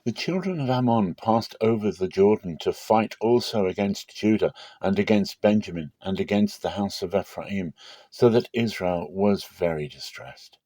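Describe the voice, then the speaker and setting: A man giving a dramatic scripture reading in measured, short phrases. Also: lowish voice, mellow, no echo. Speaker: Bible reader.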